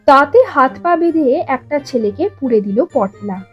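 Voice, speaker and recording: High-voiced woman telling a story. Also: lively intonation, measured pace, muffled recording, soft background music. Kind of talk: expressive scripted story narration.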